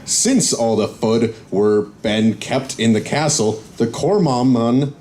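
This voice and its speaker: Slow-reading man, middle pitch. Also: lively intonation, darkish tone, a little hiss or hum. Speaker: panel reader. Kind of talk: slow read-aloud of misspelled comic text. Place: convention panel room.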